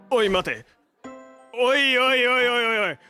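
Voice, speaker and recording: A man playing a hot-headed, flustered young hero with a soft heart in a Japanese superhero audio drama, brash and slangy in delivery. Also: very high-pitched, heavily acted. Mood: exasperated, flustered. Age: young adult.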